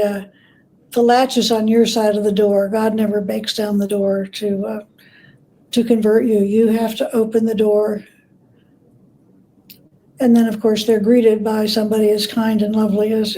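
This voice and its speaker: A woman's voice: mid-range voice, little pitch movement, measured pace, mellow, low-quality recording. A woman speaking as an expert interview guest, explaining informally through anecdotes and paraphrased quotes.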